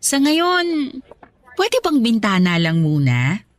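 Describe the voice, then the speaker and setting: A woman's voice: fairly high pitch, huge pitch swings, slow pace, exaggerated voice. An actress playing a newly separated woman being courted in a Tagalog radio romance drama, talking in a playful, conversational way. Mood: coy teasing.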